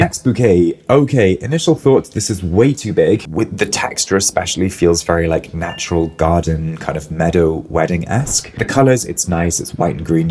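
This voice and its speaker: Male florist, low and a bit hoarse-edged, snuffly from a cold, relaxed hedging reaction-video delivery. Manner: musing, hedging, lots of "like" and "I think". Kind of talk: casual reaction commentary.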